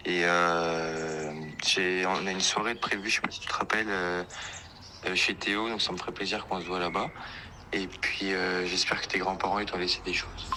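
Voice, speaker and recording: A man's voice: sits low, noisy background, close to an everyday voice. A man playing a casual young friend leaving a hesitant, offhand phone message in an audio drama.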